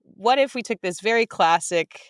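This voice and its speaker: An American woman with a smooth, clean voice, recorded close and dry, chats informally as an interview guest. She is enthusiastic and wanders through asides as she talks about her work. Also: mid-range voice, lively intonation, moderate pace, no echo. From United States. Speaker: writer-director guest. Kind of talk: casual interview chat.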